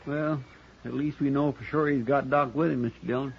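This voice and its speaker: An actor playing an anxious, loyal, deferential frontier deputy in a 1950s radio western. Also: male voice, medium pitch, steady tone, fairly quick, creaky, close to an everyday voice. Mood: fretful, pleading. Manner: deferential, fretful. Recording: muffled old radio recording, background noise.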